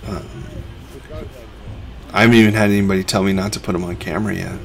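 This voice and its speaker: A man's voice: deep voice, little pitch movement, fast, vocal fry. A middle-aged man, a reseller who hosts a vlog, narrating over his footage in a laid-back, conversational way.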